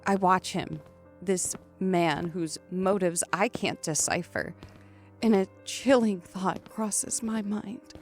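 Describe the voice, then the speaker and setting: A woman's voice: mid-range voice, soft background music, natural voice. A woman playing a frightened, anxious woman trapped with a stranger in a horror audio drama, in dialogue and first-person narration. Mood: brooding dread. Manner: stammering and pleading when scared, hushed and measured when narrating.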